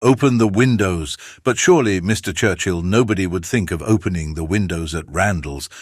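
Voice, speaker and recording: A man with a British accent playing a fussy, anxious elderly gentleman in a dramatized audiobook, fretting with old-fashioned courtesy. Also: deep voice, moderate pace, breathy edge, dry recording, clean studio sound. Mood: alarmed, disapproving. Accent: British English. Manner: fretful, courteous, fussing.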